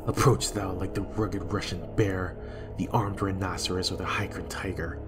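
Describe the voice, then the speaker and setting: A man playing a guilt-haunted, fearful and raging king in a Shakespearean dramatic reading, with a husky voice. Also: low-pitched, steady tone, normal speed, over music, lightly acted. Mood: defiant, frightened. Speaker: amateur reader in a dramatic reading.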